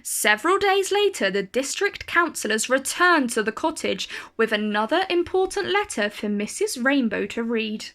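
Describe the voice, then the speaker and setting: Female storyteller, higher-pitched voice, for an expressive picture-book read-aloud with deliberate, dramatic phrasing.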